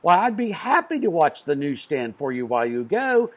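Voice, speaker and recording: A man's voice: on the high side, big pitch swings, normal speed. A man voicing a lonely, courteous storybook man who speaks earnestly and with shy delight. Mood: cheerfully helpful.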